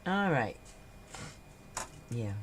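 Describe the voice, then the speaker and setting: A woman with a slightly nasal, stuffed-up voice from a cold, chatting slowly and unhurriedly as she crafts on a livestream. She narrates each small step while she works, with a faint hum of noise in the background. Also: rumbling low, big pitch swings. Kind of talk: relaxed crafting livestream chat.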